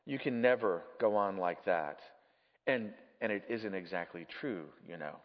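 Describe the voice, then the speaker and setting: A man's voice: deep voice, animated, measured pace, pitched below the performer's own voice. Man playing a serene, frank spirit in a hall. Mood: gently frank. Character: serene, smiling redeemed male spirit, mirthful and frank. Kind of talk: dramatic storybook reading. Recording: muffled, echoey large hall.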